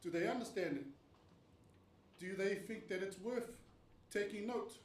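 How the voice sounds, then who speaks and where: A man's voice: sits high, measured pace. A man who grew up in Tonga, lecturing on a panel about research stages, earnest and explanatory, often asking rhetorical questions. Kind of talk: academic panel presentation. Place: panel venue room. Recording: roomy, distant mic, faint noise.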